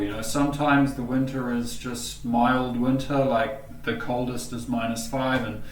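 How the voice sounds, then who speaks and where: A man's voice: medium pitch, reverberant, far from the mic, a little hiss or hum, low-quality recording. A man with a New Zealand accent chatting casually and rambling on a video call, with lots of fillers. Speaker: host.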